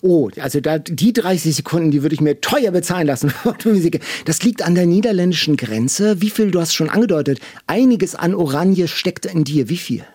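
A man's voice: fairly high pitch, animated, fairly quick, hardly any pauses, darkish tone, breathy edge, no room sound. A man interviewing a guest, asking playful, teasing questions in a conversational way.

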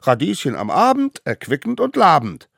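A man voicing small excitable stone-age kids who babble excuses in high, shouting voices. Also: moderate pace, creaky, slightly rough, no room sound, clean studio sound, exaggerated voice. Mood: eager.

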